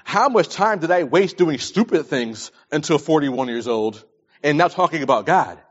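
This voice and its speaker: A man's voice: fairly high pitch, animated, normal speed. A man in his early forties preaching a sermon, speaking emphatically and repeating phrases to stress them. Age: early 40s. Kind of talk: sermon with scripture readings and plain advice. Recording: dull lo-fi recording.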